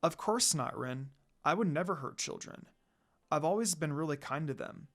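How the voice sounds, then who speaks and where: Brief: female reader as young wolf demon princess for a fantasy tale, gentle, friendly, softly spoken, varied pitch. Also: lively intonation, moderate pace. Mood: earnest, kind. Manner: kind, soft-spoken.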